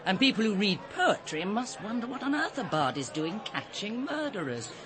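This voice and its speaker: A woman acting an arch, insistent lady in a radio play. She is brisk, bossy and teasing, her pitch swinging widely. A busy crowd chatters behind her. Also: very animated, lightly acted. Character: brisk, bossy, teasing female cookery writer. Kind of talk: British radio drama dialogue. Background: party chatter.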